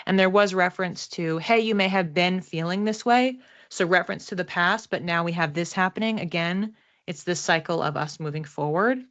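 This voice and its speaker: A woman's voice: lowish voice, fairly bright tone, highs cut off. A woman talking conversationally and reflectively as a psychic reader, explaining things casually to her listeners and stressing key words. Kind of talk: casual explanatory card reading to camera.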